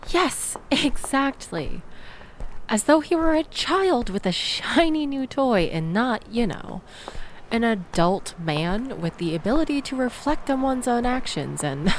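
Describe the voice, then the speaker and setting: A woman's voice: medium pitch, a little hiss or hum, rough recording. A woman playing a sardonic young woman venting about a bad date in an intimate audio roleplay, wry, wordy and exasperated. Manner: wry, exasperated, wordy, theatrical emphasis with sighs and groans.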